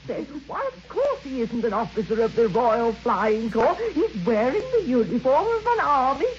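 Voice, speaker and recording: A woman's voice: on the high side, sing-song, moderate pace. An actress playing a kindly, motherly housewife with a fussing, gossipy manner in an old radio drama. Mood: merry, gossipy. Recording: noisy, dull old radio recording.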